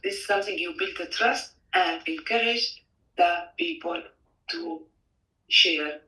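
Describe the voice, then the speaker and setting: A community participant explaining in an interview in halting, non-native English. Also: mid-range voice, very animated, creaky, sharp tone. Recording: distant microphone.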